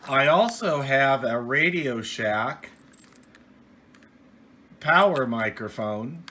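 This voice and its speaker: Casting: American man for an unscripted hobby review, clear-edged bright tone, middling pitch, plain and rambling, some noise in a home office. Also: lively intonation, slow. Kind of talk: casual unscripted product review. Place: small indoor home office radio room.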